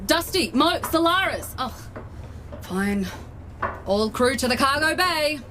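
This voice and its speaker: A slightly high voice for a strict female space captain in a sci-fi audio comedy. She is impatient and by the book, giving clipped, formal commands over faint background noise. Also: lively intonation, takes it slowly. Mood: commanding, exasperated. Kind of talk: audio comedy drama acting.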